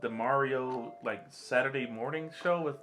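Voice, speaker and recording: A man co-hosting a podcast in casual chat, haltingly rephrasing as he thinks aloud. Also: medium pitch. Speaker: podcast co-host. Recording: guitar music playing under the voice. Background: guitar music.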